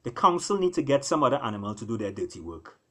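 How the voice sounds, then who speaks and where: A man's voice: medium pitch, big pitch swings, fast, bright, forceful tone. A man voicing a frank, affectionate female spider in a storybook, speaking directly and colloquially as a wife to her husband. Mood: wry.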